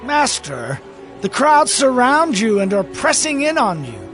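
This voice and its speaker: A man doing high-voiced awestruck disciples. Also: huge pitch swings, a little slow, a bit bright, exaggerated voice. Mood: awed. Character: frightened male disciples, awed and anxious. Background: music.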